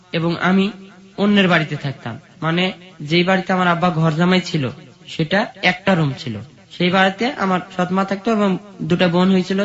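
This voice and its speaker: Bangladeshi guy telling his life story, pretty high voice, muffled lo-fi recording. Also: a bit bright. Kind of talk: personal life-story narration. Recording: dull lo-fi sound.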